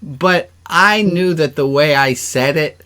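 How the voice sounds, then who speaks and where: A man's voice: a little high, slow, pushed and bright. Informal, joking man leading a service. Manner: conversational, joking, encouraging participants. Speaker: rabbi leading an online service. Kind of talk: informal interactive sermon, leading exercises.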